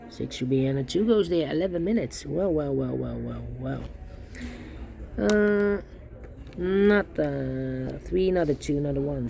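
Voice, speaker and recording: A man's voice: mid-range voice, creaky, mellow. A man giving a step-by-step puzzle tutorial, thinking aloud and often repeating short phrases as he mutters to himself. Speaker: puzzle instructor.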